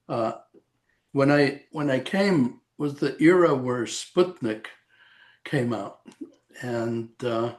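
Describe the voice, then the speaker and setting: Slow, rambling man in his eighties. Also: medium pitch. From El Salvador. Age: 80s. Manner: slow, rambling, halting with frequent "uh". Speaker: retired radiation oncologist, guest. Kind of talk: reminiscing interview.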